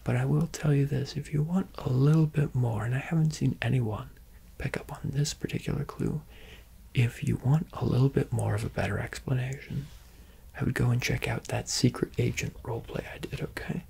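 A young man whispering softly and playfully while he answers viewer questions for an ASMR video. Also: mid-range voice, fairly even intonation, moderate pace, vocal fry, slightly rough. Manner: soft, close whisper, teasing and playful.

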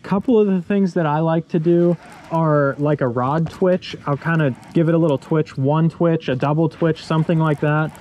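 A man's voice: a little high, steady tone, normal speed, a little mellow, lo-fi sound, slight background noise. A young man hosting a fishing vlog, explaining lure technique step by step in an easygoing way, recorded outdoors on a boat. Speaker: fishing channel host.

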